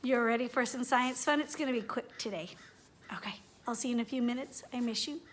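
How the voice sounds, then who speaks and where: A woman's voice: a little high, fairly quick, a little hiss or hum. A woman hosting a children's read-aloud and chatty demonstration, speaking directly to young listeners and asking them questions. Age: adult.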